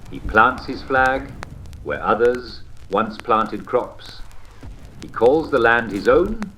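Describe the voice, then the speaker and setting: A man playing an avuncular old-time radio propaganda host, genteel and smugly patriotic, with theatrical phrasing and deliberate pauses. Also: medium pitch, big pitch swings, pushed and bright, faint music behind, heavily acted, rough recording. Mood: scornful, pompous. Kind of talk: vintage radio propaganda narration.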